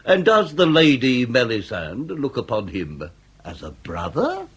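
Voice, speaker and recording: An actor playing a suspicious, grandiloquent Victorian police inspector in a period radio comedy drama. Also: male voice, medium pitch, wildly swooping pitch, measured pace, breathy edge, exaggerated voice. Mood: pompous, suspicious. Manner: grandiloquent, probing.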